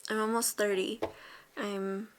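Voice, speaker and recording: A woman in her late twenties chatting casually in a vlog, relaxed and rambling with fillers and soft laughs. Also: medium pitch, takes it slowly. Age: 20s. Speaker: vlogger.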